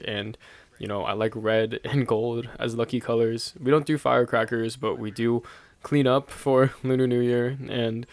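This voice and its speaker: A man's voice: medium pitch, no room sound. A young man from the United States giving a casual, rambling opinion monologue, thinking aloud and chuckling often mid-sentence. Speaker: vlogger sharing opinions.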